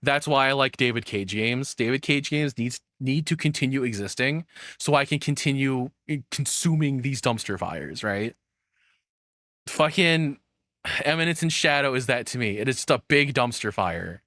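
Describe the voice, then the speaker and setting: A man's voice: medium pitch, animated, normal speed, fairly bright tone, no echo, studio quality. A man giving casual, opinionated commentary, rambling and swearing bluntly as he goes. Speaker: streamer reacting to a video.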